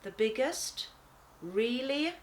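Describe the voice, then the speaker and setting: Woman voicing a grumpy hungry lioness, swooping pitch. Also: lively intonation, takes it slowly, slight background noise. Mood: grumpy, sceptical. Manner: blunt, demanding. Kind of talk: children's storybook character voice.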